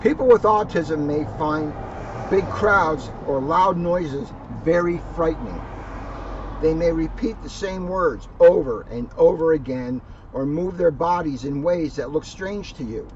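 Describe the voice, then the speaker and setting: A man with a fairly high voice reads a picture book aloud for children, adding plain explanations as he goes. The sound is somewhat dull, with faint noise behind him. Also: measured pace. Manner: simple, explanatory phrasing aimed at children. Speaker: police officer reading a children's book.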